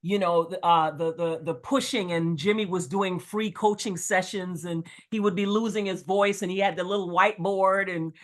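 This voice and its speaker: A woman's voice: lowish voice, steady tone, normal speed, hardly any pauses. Chatty middle-aged woman on a video call. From born in Jamaica, grew up in the United States. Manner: chatty, enthusiastic, rambling. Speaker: real estate coach, guest. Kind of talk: casual webinar talk.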